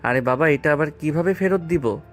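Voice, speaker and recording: A man's voice: a little high, moderate pace, close to an everyday voice. A man playing a cocky, carefree young student boyfriend in an audio love story, with a casual, offhand manner. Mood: pleading. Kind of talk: acted dialogue in an amateur audio love story. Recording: voice over background music.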